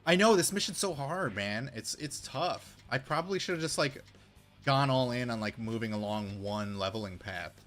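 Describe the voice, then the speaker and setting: Young male streamer, hoarse from losing his voice, rambling play-by-play with cursing, faint game music underneath. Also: medium pitch, expressive, normal speed, a bit bright. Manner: rambling, swears when frustrated. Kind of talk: casual gameplay commentary.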